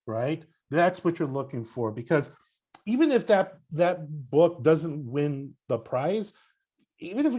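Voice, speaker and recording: A man's voice: a little high, dark tone. A man talking as a guest on a podcast, a book critic who rambles with lots of "you know" and restarts. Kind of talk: casual podcast conversation.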